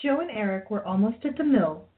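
A woman's voice: mid-range voice, expressive, brisk. A woman narrating a radio play in a scripted storytelling style. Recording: tinny phone-like line.

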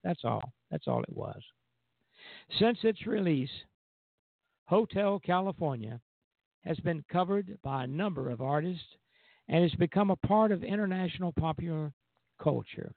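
Male radio host, creaky voice on narrow phone-grade audio, reading music history, occasional self-corrections. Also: a little high, animated, unhurried, pauses often, no room sound. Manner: stumbles over read words and corrects himself. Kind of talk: music history commentary read from notes. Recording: tinny phone-like band-limited audio.

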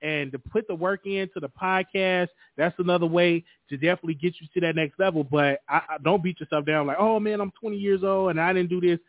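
A man's voice: high voice, fairly even intonation, fairly quick, hardly any pauses, dry recording. Encouraging man on a thin, dry line. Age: 30s. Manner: encouraging, filler-heavy. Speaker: host. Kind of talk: casual chat. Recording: dry, phone-like sound.

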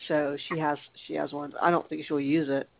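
A woman's voice: low voice, little pitch movement, brisk, gravelly, dry recording. A congested woman co-hosting a casual radio chat, chatty and trailing off with fillers. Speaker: co-host. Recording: tinny phone-like dry line.

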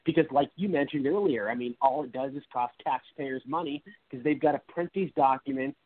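A man's voice: mid-range voice, brisk, dry recording. A male talk show host interviewing a guest in a casual, rambling, effusive way, often restarting his sentences. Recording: dry, phone-like sound.

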